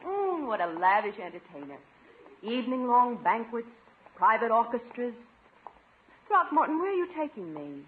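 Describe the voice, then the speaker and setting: Through a thin, slightly noisy 1950s radio recording comes a refined lady on a date. She is gushing, flirtatious and coaxing, with a very animated melody to her speech. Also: on the high side, normal speed. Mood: excited. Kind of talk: 1950s radio sitcom acting. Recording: noisy, narrow-band 1950s radio broadcast.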